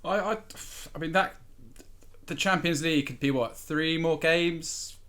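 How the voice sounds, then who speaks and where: A man talking football as a podcast panelist, giving his analysis in a conversational, slightly halting way. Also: on the high side, pushed and bright. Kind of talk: football discussion, casual analysis.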